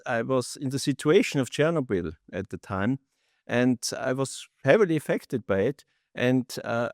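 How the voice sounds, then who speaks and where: A middle-aged man with an Austrian accent, a native German speaker, answering as a founder in an interview with halting non-native phrasing. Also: medium pitch, a little mellow, no room sound, studio quality. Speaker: company founder, interview guest. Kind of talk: interview answers, recounting own career.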